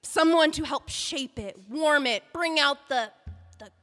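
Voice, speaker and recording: An actress playing a patient book editor who coaxes and soothes a bitter client in an audio play. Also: female voice, high voice, a little slow, fairly bright tone, faint music behind. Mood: soothing. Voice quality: soothing, pleading. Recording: long hall reverb.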